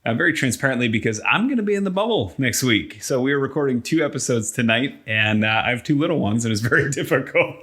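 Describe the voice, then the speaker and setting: A man's voice: medium pitch, lively intonation, quick pace, hardly any pauses, fairly bright tone, breathy edge. A man co-hosting a podcast, chatting casually about pro wrestling and promoting events. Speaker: podcast co-host.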